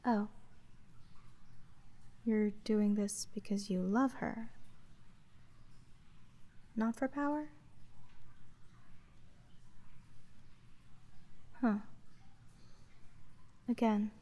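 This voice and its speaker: A woman playing an aloof, skeptical sea witch in a soft, monotone fantasy ASMR roleplay, speaking in a dry, measured way. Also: mid-range voice. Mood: skeptical, unimpressed.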